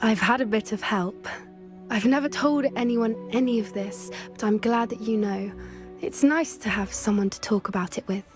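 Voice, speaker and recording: Actress as kind teen witch girl, british accent, gentle n earnest, video game scene w music under it. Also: fairly high pitch, normal speed, close to an everyday voice. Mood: warm resolve. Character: earnest kind teenage witch who loves creatures. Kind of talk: video game cutscene acting.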